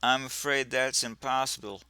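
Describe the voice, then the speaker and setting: A man voicing a pompous male admiral in a comic game dub, speaking low and soft with a condescending manner. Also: fairly even intonation, moderate pace, clean tone, dry recording. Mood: decisive.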